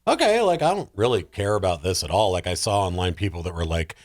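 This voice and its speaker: A man's voice: low voice, big pitch swings, fast talker. A man chatting casually as a podcast panelist, jokey and prone to acting out imagined quotes. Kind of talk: casual chat.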